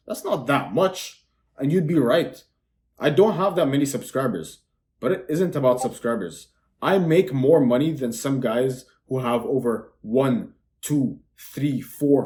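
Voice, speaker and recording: Brash young man pitching his course. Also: darkish tone. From Dubai. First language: Arabic. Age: young adult. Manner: brash, self-assured, repeats words for emphasis. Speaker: online course seller. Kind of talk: boastful sales pitch to camera.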